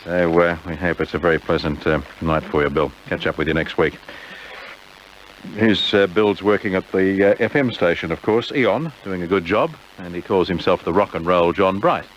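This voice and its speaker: Deep-voiced man on muffled old radio tape. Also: moderate pace, a little hiss or hum. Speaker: radio presenter. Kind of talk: casual radio presenting, personal farewells and dedications.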